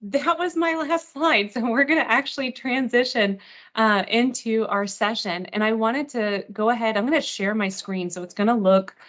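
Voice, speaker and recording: A woman's voice: on the high side, normal speed, fairly bright tone. Chatty woman, dull webinar audio. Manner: informal, chatty, self-deprecating, reassuring. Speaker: webinar host and software trainer. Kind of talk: live webinar software walkthrough. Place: online video meeting. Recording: dull lo-fi online meeting audio.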